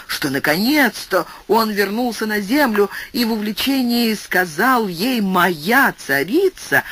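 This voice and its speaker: A man narrating a literary audiobook with expressive, storytelling delivery. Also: breathy edge. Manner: expressive storytelling with wide swings of pitch.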